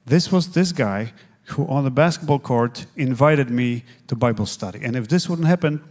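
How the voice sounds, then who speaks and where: A man in his forties from Poland with a Polish accent, a guest pastor telling his faith story on a church stage into a handheld mic, earnest and halting with many fillers. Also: mid-range voice, a little mellow. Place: church stage, seated in armchair.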